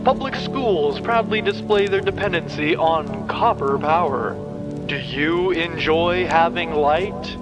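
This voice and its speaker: A man narrating a scripted dystopian story in a measured, dramatic manner. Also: a little high, lively intonation, over music.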